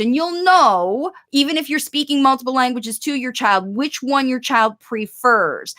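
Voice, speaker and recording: A woman's voice: high voice, pushed and bright. A woman coaching parents on language learning in a chatty, upbeat live-stream Q&A, reading out viewers' questions as she goes.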